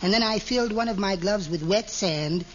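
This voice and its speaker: A man's voice: high voice, normal speed, lightly acted. A man playing a meek, henpecked little husband with sly spite, mild and prim, in 1940s radio comedy style. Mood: wistful, then gleeful. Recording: vintage radio, muffled with background hiss.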